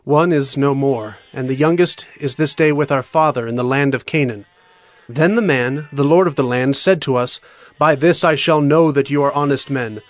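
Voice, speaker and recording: A man's voice: on the high side, no room sound, natural voice. A man playing an anxious, pleading brother. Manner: earnest and pleading. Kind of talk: dramatized scripture reading.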